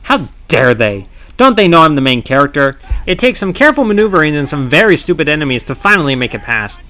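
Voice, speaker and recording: A man narrating his own gameplay challenge in scripted voice-over, wry and sarcastic with playful dramatic pauses. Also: fairly high pitch, big pitch swings, pushed and bright. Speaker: gaming channel host. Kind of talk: scripted comedic game commentary narration.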